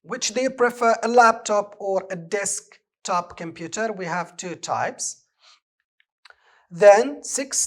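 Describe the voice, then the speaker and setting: A man from Morocco with a Moroccan accent, a native speaker of Moroccan Arabic, teaching an English lesson on television and explaining exercise answers step by step. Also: high-pitched. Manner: slow, deliberate, reads exercise items aloud and explains answers. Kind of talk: televised distance-learning lesson.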